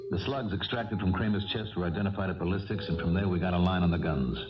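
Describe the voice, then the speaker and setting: An actor playing a sober police detective in a 1970s radio drama, terse and matter-of-fact. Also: male voice, sits low, fast talker, distant mic, highs cut off, natural voice. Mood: grave, factual. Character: sober male police detective recounting a case. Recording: echoey old radio broadcast, music behind.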